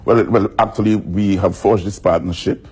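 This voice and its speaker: A man's voice: fairly low pitch, steady tone, a little mellow, breathy edge. A man, president of a school football league, speaking formally and measuredly in a live TV interview. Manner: formal, measured, repeats words when restarting.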